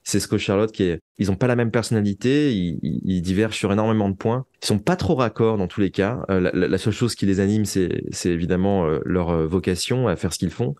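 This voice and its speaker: A man with a low voice gives conversational interview answers as a guest. He often pauses to search for words and repeats small phrases. The sound is dry, with hardly any room around it. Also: a little mellow, no echo. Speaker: guest, audio fiction writer.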